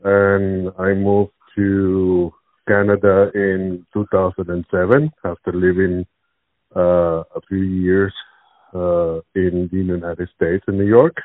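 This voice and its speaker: Halting Venezuelan man on a phone-like line. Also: deep voice, little pitch movement, no room sound. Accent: Venezuelan Spanish. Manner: halting, searching for words, repeats himself. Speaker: interviewee. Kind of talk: spontaneous interview answers. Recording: tinny narrowband phone-like line.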